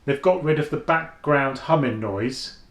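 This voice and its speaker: Deep-voiced man, echoey room. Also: a little slow. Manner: chatty, thinking aloud, with frequent restarts and fillers. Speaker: headphone reviewer. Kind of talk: casual product review vlog. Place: at home, indoors.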